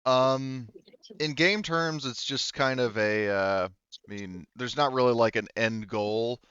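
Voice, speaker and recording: A man casually narrating and explaining a tabletop game as game master, haltingly thinking aloud. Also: medium pitch, a bit bright, clear, resonant tone, dry recording, muffled recording.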